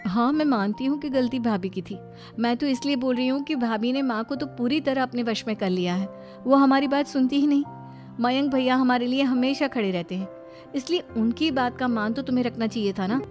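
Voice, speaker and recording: A woman's voice: high-pitched, brisk, a little mellow, faint music behind, natural voice. A woman voicing an earnest, sensible young elder sister in a cartoon family drama. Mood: reasoning. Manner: earnest, explaining.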